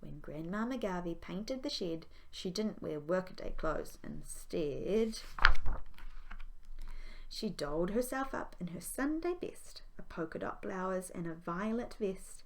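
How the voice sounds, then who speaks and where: A woman's voice: lowish voice, lively intonation, slow, slight background noise, low-quality recording. A woman, a primary school teacher, reading a children's story aloud expressively.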